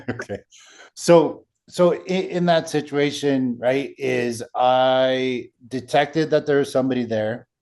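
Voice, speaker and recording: A man with a deep voice hosting a casual podcast, telling a story and checking in with "right?" as he goes. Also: slow, darkish tone. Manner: storytelling, frequent "right?" tags. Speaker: podcast host.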